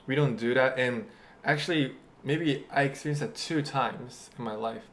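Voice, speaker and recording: A man's voice: medium pitch, moderate pace, fairly bright tone. A young man, chatting casually and telling a story full of "like" fillers. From Japan. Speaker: guest. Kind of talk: casual chat.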